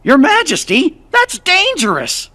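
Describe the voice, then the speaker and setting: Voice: high-pitched, wildly swooping pitch, unhurried, pushed and bright, heavily acted. An actor voicing a small, courtly cartoon cricket in a video game, with a worried, folksy manner. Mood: concerned.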